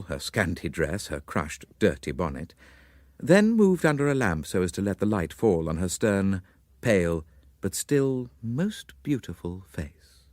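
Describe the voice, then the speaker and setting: A man narrating a literary story in a dramatic, measured and formal manner. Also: low voice, a little mellow, no room sound.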